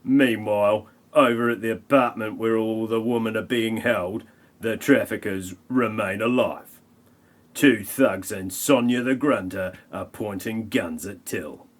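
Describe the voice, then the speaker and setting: A man's voice: lowish voice, measured pace. A man giving scripted comic narration for an audio play, with a mock-dramatic storytelling manner.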